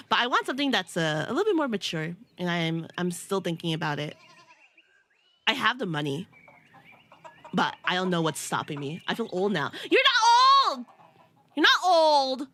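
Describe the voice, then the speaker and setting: A woman's voice: mid-range voice, sing-song, moderate pace, pauses often, bright, forceful tone. A woman around thirty with a nasal voice, chatting casually and giggling as she streams a game. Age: about 30. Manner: giggly, rambling, self-teasing. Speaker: VTuber streamer. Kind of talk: casual live-stream chat while gaming.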